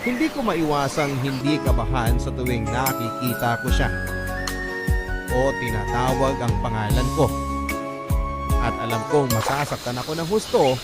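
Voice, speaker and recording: A man's voice: mid-range voice, animated, normal speed, natural voice. A man voicing a lovestruck, anxious legal assistant with a Filipino accent, confiding earnestly in dramatized first-person narration. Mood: wistful, anxious. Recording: loud music behind the voice.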